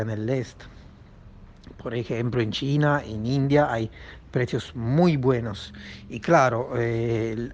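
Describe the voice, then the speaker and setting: An Italian man with a clear Italian accent talks through his answer haltingly, circling back with fillers and false starts. His pitch sits in the middle range. The audio is dull and lo-fi, like a remote recording. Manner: hesitant, many fillers and restarts. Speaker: comics publisher. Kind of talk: remote podcast interview answer.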